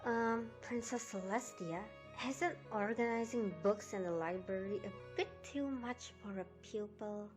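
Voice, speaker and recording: A woman's voice: mid-range voice, a little slow, few pauses, music in the background, close to an everyday voice, low-quality recording. A woman voicing a friendly, loyal mare pony who speaks politely and casually.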